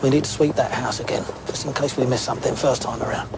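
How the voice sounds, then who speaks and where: An actor with an English accent playing a middle-aged, steady police detective who asks plain, probing questions in an audio drama. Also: male voice, medium pitch, quick pace, noisy background, natural voice. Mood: matter-of-fact. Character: seasoned, steady police detective sergeant, a family man. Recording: faint background ambience.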